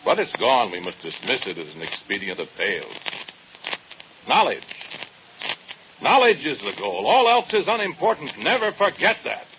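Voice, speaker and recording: A man's voice: mid-range voice, big pitch swings, moderate pace. A man playing a cold, arrogant middle-aged scientist in an old radio drama, lecturing and dismissive. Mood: fervent, insistent. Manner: pompous, lecturing, clipped dismissals. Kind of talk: mid-century radio drama acting. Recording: dull, noisy old radio recording.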